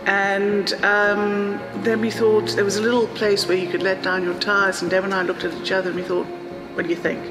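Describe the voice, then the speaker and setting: A woman's voice: mid-range voice, little pitch movement, normal speed. A middle-aged woman from Zambia with a Southern African accent, telling her own travel story in a conversational, reflective, anecdotal way. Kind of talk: personal storytelling, conversational interview narration. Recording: echoey, reverberant room with music behind. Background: music.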